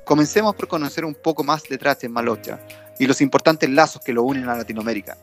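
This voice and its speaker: A man's voice: mid-range voice, fast, soft background music. A male podcast host reading a formal, scripted introduction and asking the guest questions.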